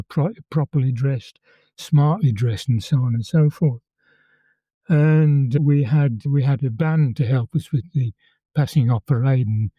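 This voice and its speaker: An older man in his eighties with a Birmingham accent speaks with a deeply mellow, warm tone, pitched a little high for a man. He tells his memories at a measured pace, often doubling words as he goes, and the sound is close and dry. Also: no echo. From England. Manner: measured storytelling, repeats words while recalling. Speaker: interview guest, former conscript. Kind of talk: reminiscing in conversation.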